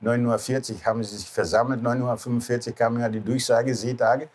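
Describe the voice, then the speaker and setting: A man's voice: mid-range voice, steady tone, brisk, darkish tone. A man in his sixties with a Greek accent reminisces casually in non-native speech with loose grammar, often adding short questioning tags. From Greece. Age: 60s. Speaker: cruise ship captain. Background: background music.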